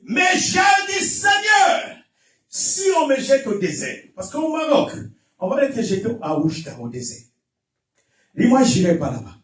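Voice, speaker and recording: Echoey, high-pitched Congolese pastor preaching fervently. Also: male voice, huge pitch swings, a little slow. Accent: Congolese French. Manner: emphatic, repetitive, often shouting exhortations. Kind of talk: fervent Pentecostal-style sermon with testimony and call-and-response. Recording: echoey church PA, distant, music behind.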